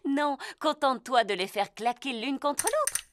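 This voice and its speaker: A patient gazelle schoolteacher from a children's cartoon. Her voice sits high and swoops about as she explains in a sing-song, unhurried way. Bright children's music plays clearly under her. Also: sing-song. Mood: encouraging. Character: gentle, patient female gazelle music teacher. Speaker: teacher. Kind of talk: dubbed cartoon acting.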